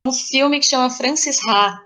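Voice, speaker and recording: A woman's voice: high-pitched, a little slow, pushed and bright, low-quality recording. Brazilian woman in casual podcast conversation. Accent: Brazilian Portuguese. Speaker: interview guest, playwright.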